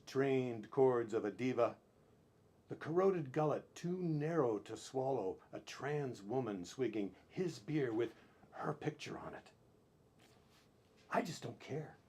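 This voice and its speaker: A man performing spoken-word poetry as a poet, with deliberate, theatrical delivery in short phrases broken by pauses, on a live-stream recording. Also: mid-range voice, a little mellow. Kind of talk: dramatic spoken-word poetry reading, with brief chatty asides.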